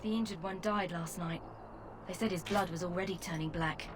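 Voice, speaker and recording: An actress playing a cynical, weary soldier with a dry, sardonic manner. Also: female voice, on the low side, fairly even intonation, brisk, background noise, close to an everyday voice. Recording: game dialogue over camp ambience.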